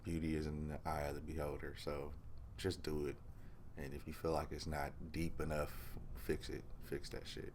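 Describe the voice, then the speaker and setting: Very deep gravelly laid-back man. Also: a little hiss or hum. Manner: laid-back, rambling with many fillers like "you know" and "um". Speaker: music producer teaching vocal mixing. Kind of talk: casual screen-recorded tutorial.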